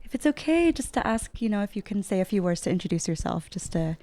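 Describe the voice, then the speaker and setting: A woman's voice: mid-range voice, sing-song, fast, mellow, a little hiss or hum. A young woman hosting a podcast, chatting casually with fillers as she interviews a couple. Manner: friendly, chatty, lots of fillers. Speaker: podcast host.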